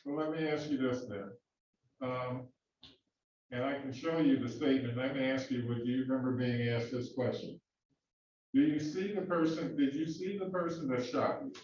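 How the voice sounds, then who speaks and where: Man, defense attorney, halting questions, full of fillers, distant and echoey, dull sound. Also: mid-range voice, steady tone, darkish tone, a little hiss or hum. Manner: halting, many fillers and restarts. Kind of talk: courtroom cross-examination. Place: courtroom.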